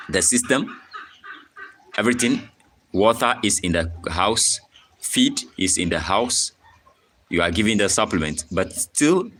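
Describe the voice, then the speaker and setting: Deep-voiced Ghanaian man teaching poultry farming. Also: a bit bright. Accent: Ghanaian English. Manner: repetitive, frequent "okay?" check-ins, reads chat aloud. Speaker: poultry farmer and channel host. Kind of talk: live-stream farming tutorial.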